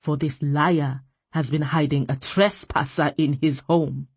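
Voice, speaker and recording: A woman acts a cold armoured commander in a fantasy novel. He speaks low and husky, with curt scorn and command. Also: a little slow, darkish tone, no room sound. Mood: contemptuous. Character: cold, contemptuous armoured male chief officer.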